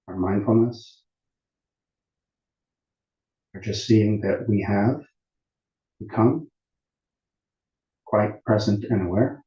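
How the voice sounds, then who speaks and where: Slow, dark-voiced man guiding meditation. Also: on the low side. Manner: calm, unhurried, deliberate with long pauses. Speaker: meditation teacher. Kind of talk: guided meditation instruction at a retreat. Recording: muffled, roomy echoing sound.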